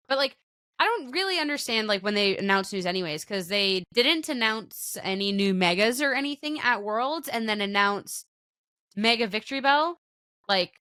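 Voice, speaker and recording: Bright-voiced chatty woman podcast host. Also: medium pitch, dry recording, studio quality. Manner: chatty, trailing off and restarting. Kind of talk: casual chat.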